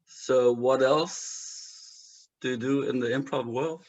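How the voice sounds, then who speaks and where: A man whose first language is Danish, hosting an improv session on a video call and asking questions in an unhurried way. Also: medium pitch, fairly even intonation, darkish tone, low-quality recording. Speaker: host. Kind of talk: casual chat on a video call.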